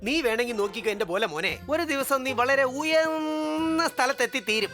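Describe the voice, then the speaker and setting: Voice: high voice, expressive, moderate pace. An actor voicing a fond, fretful guru in a children's cartoon, doting and exclamatory, with emotional delivery. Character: Guru, fond, fretful mentor to a young sidekick. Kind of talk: exaggerated children's cartoon dubbing. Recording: music behind the voice.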